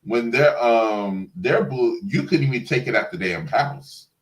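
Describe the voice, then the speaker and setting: A man's voice: medium pitch, a little slow, rough recording. A man co-hosting a casual TV review chat, speaking colloquially and teasingly, repeating himself for emphasis. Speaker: co-host of a TV review livestream.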